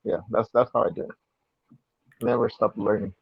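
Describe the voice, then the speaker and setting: A soft-spoken man from Mexico City with a Mexican Spanish accent, a native Spanish speaker answering hesitantly with fillers over a video call. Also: fairly low pitch, steady tone, normal speed, gravelly, slightly rough, dry recording. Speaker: guest illustrator. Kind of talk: interview answers. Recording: muffled, dry video call audio.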